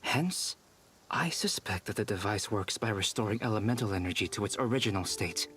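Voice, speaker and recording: An actor playing a calm, scholarly male investigator in a video game, speaking in a measured, precise, erudite way. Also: lowish voice. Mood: analytical, absorbed. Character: calm scholarly man, meticulous analytical investigator. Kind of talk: scripted video game dialogue.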